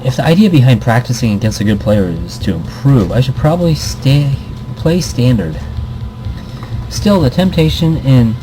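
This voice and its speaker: A man's voice: mid-range voice, lively intonation, normal speed, background noise. A man hosting a casual let's play, reading visual novel text aloud with commentary and often stumbling and repeating words. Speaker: gaming video host.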